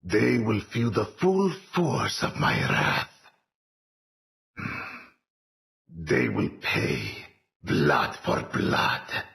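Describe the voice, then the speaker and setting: A man voicing a vengeful, wrathful militant commander in a video game, gravelly and commanding, delivering menacing combat lines. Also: on the low side, wildly swooping pitch, slow pace, fairly bright tone, no room sound, clean studio sound, heavily acted. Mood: cold menace. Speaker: playable game character.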